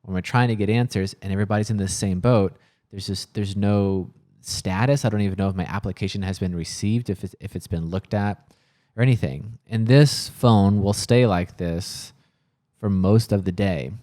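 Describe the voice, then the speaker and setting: A man's voice: lowish voice, normal speed, warm tone. A man talking casually and earnestly to camera in a worried, frustrated manner, sometimes searching for words. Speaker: coffee shop owner filming a personal vlog.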